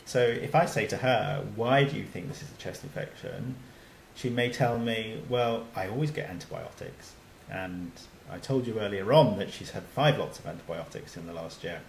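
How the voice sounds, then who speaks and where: A man's voice: fairly low pitch, moderate pace, vocal fry, reverberant, slight background noise, poor audio. A man, a doctor, giving a recorded slide lecture in a conversational way, with many fillers and restarts.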